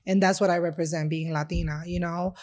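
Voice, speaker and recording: A woman's voice: lowish voice, steady tone, fairly quick, darkish tone. A woman from Chicago, a guest in an interview, talking about her heritage in a heartfelt, rambling way with many fillers. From Chicago, USA. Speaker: interview guest, restaurant owner. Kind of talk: casual interview conversation.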